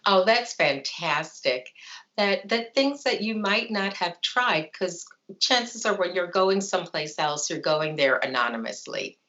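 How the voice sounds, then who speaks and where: A woman's voice: fairly low pitch, expressive, unhurried, fairly bright tone. A woman in her fifties, a psychologist speaking as a guest on a video call interview, talking reflectively with many you-knows. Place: home room with plant and bookshelf. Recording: dull lo-fi video call audio.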